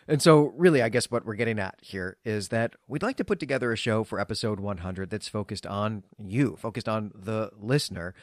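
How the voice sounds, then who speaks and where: A man's voice: lowish voice, a little fast, hardly any pauses, dry recording, studio quality. A man co-hosting a literary podcast in a chatty, explanatory, conversational way. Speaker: podcast co-host.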